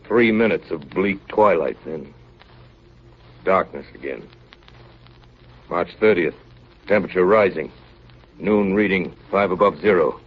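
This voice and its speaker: An actor playing a steady, authoritative ship's officer in a 1930s radio drama, grave and firm with clipped phrasing. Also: male voice, deep voice, measured pace, long pauses, slightly rough. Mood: grave, weary. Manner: grave, clipped log-style narration, firm in dialogue. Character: steady, authoritative ship's chief officer narrating polar ordeal. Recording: dull, lightly noisy 1930s radio recording.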